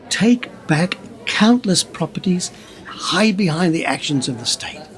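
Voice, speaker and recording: An actor in a radio play playing an impassioned whistleblower, speaking in an emphatic, conspiratorial way. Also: male voice, medium pitch, low-quality recording. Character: impassioned male whistleblower pressing a wary journalist.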